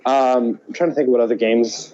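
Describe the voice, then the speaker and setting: A man co-hosting a podcast, chatting casually and thinking aloud in halting, hesitant phrases. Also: mid-range voice, steady tone, dark tone, lo-fi sound, slight background noise. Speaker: podcast co-host. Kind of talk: casual chat about movies and games.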